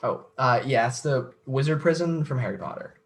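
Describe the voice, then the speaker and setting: A man's voice: mid-range voice, lively intonation, natural voice. Hesitant, nerdy young man played by an actor. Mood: earnest, friendly. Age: young adult. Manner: hesitant, halting. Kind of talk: stage play dialogue.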